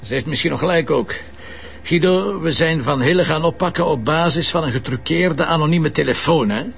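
A man with a Flemish accent acting a gruff, sceptical police commissioner, curt and dry, in a crime drama. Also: a little high, brisk, fairly bright tone. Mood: dry, grumbling. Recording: dull lo-fi audio, faint noise.

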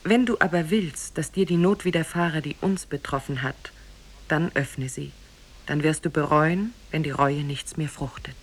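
A woman voicing a dying old sheikh who gives a slow, solemn, sorrowful warning in a 1950s radio tale. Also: low-pitched. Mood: ominous, grave.

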